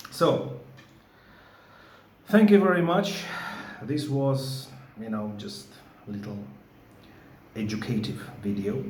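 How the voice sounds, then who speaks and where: A man's voice: mid-range voice, a little mellow, reverberant, slight background noise. A man with a Georgian accent, a record collector making an insistent vlog and often asking "okay?". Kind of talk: to-camera vlog.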